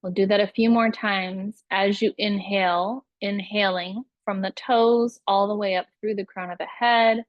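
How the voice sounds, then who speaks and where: Female meditation guide, very slow and soothing, mid-range pitch, close dry recording. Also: fairly even intonation, no echo, lo-fi sound. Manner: unhurried, soothing instructional delivery with pauses. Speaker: yoga nidra meditation teacher.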